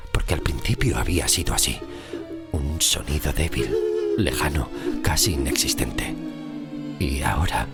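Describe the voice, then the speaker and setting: A man narrating a scripted horror story in deliberate, suspenseful phrases. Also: extremely low pitch. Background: loud music.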